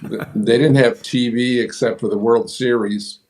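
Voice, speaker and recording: A man's voice: medium pitch, steady tone, moderate pace, a little mellow. An older man from upstate New York, a business guest telling his story in a plain, matter-of-fact way with a few fillers. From upstate New York, United States. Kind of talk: interview storytelling.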